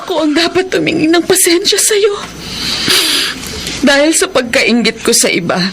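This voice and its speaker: A woman's voice: sits high, sing-song, moderate pace, noisy background. An actress playing a remorseful, pleading wife and mother in a melodramatic radio drama, with a Filipino accent. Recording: music bed behind.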